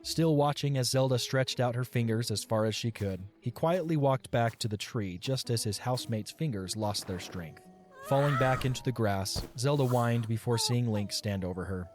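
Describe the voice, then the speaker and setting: A man's voice: medium pitch, normal speed, a little mellow. A man narrating a scripted fantasy audio story as a storyteller. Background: background music.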